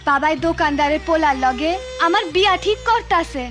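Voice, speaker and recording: A woman's voice: high-pitched, expressive, brisk, a bit bright, soft background music. A woman voicing a worried, studious teenage village girl in a cartoon, earnest and plaintive. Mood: sad. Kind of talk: cartoon voice acting.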